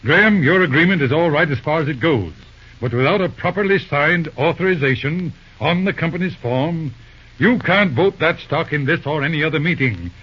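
An actor playing a shrewd, formal judge and lawyer, speaking deliberately and politely but pointedly in an old-time radio drama. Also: male voice, a little high, lively intonation, slightly rough. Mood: firm. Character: shrewd, courteous lawyer judge, calmly cornering his opponent. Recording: muffled old radio recording.

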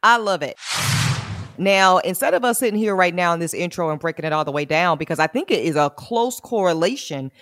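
A woman attorney hosting a podcast, chatting frankly and with slang, laughing often, with a big booming voice. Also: lively intonation, a little fast, a bit bright, dry recording, studio quality. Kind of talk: casual podcast chat.

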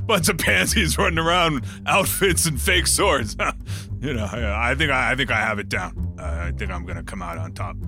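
A man's voice: mid-range voice, lively intonation, bright, forceful tone, soft background music. A man playing a suspicious, boozy, cocky slacker contestant who stammers and repeats himself in a comedy audio drama interview. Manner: halting, stammering, repetitive, evasive.

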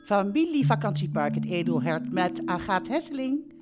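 A woman's voice: medium pitch, big pitch swings, moderate pace, over loud music, close to an everyday voice. A woman playing an anxious but hopeful holiday park owner in a comedic radio play. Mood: eager, hopeful.

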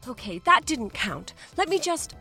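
A woman performing a comic scripted promo for a podcast, playful and mock-frazzled with sharp comic timing. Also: sits high, big pitch swings, unhurried, gravelly, faint music behind.

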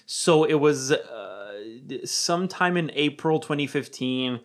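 A young man from Romania with an American accent, telling a personal story in casual chat. He talks animatedly, swears freely and drops to a low murmur when quoting his own thoughts. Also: expressive, slow.

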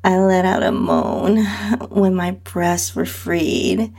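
Female narrator for erotic audio fiction: lower register, husky, slow delivery. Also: fairly even intonation, dark tone. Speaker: podcast host and narrator. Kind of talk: first-person erotic audio story narration.

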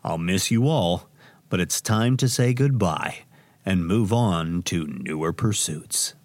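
Hammy, swooping theatrical radio host. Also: male voice, sits low, lively intonation, darkish tone, dry recording, heavily acted. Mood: wistful farewell. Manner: melodramatic, pompous, drawn-out delivery. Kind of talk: radio drama acting.

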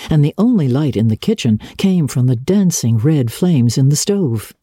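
A woman narrates classic literature with dramatic, measured expression. Her voice is very dark and husky, moving through lively rises and falls in a close, dry recording. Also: extremely low pitch, no room sound. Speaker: audiobook narrator.

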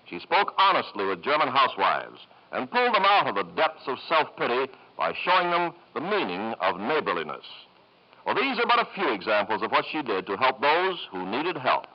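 A man's voice: mid-range voice, sing-song, normal speed. An American man reading scripted 1950s radio narration as an announcer, formal and emphatic. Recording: dull lo-fi old radio broadcast.

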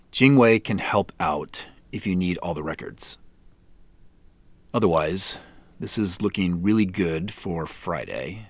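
A deep-voiced, weary businessman in a naturalistic audio drama. He dictates messages slowly and evenly, pausing between phrases. The sound is dry and close. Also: fairly bright tone, dry recording, heavily acted. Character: tired businessman dictating text messages to his phone.

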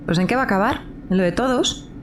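A woman's voice: medium pitch, fast talker, natural voice. An actress playing a sarcastic, skeptical young woman dinner guest in a live audio drama, with a Castilian Spanish accent. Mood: dismissive. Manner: dry, sarcastic. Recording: noticeable background noise, live recording.

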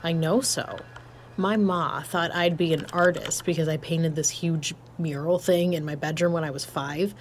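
Actress as chill young tech gal, lowish voice, wry and slangy, some ambient noise behind her, audio drama. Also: fairly even intonation, moderate pace, natural voice. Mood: reflective, easygoing. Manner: casual, slangy, dryly joking. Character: laid-back, wry, blunt young hardware tech. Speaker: voice actor. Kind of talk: naturalistic audio drama dialogue.

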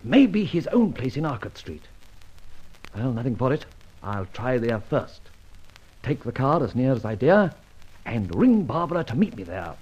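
Dashing adventurer with animated delivery. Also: male voice, mid-range voice, unhurried, long pauses, highs cut off. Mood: wry storytelling. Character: dashing gentleman adventurer, cool, wry, self-assured. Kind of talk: 1940s-50s radio adventure serial acting, first-person narration and dialogue.

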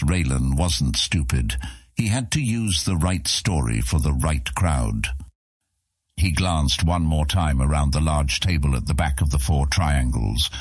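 Male audiobook narrator for fantasy fiction: bass-deep, raspy texture, very dry recording. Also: dry recording. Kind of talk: scripted fantasy story narration.

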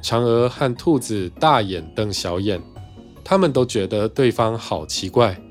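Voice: medium pitch, lively intonation, unhurried, soft background music. A storyteller narrating a scripted children's tale in simple storybook phrasing.

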